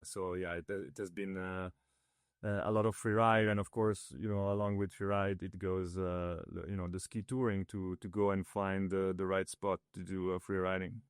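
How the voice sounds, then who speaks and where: A man's voice: deep voice, flat delivery, normal speed, clean tone, dry recording, clean studio sound. A man from northern Italy, raised in Switzerland, whose first language is Italian, talking through a casual interview with many fillers and restarts. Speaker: ski builder guest.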